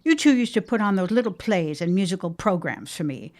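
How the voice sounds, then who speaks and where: A woman's voice: on the low side, very animated, a little fast, a little mellow. An actress playing a stubborn, sentimental middle-aged widowed mother in a period audio drama, theatrical and insistent with old-fashioned phrasing. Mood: pleading.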